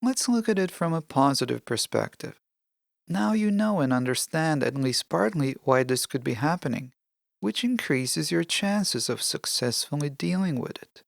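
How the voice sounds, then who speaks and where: A podcast host giving scripted self-help narration in a measured, deliberate, instructional way. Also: medium pitch, lively intonation, gravelly, no room sound, clean studio sound.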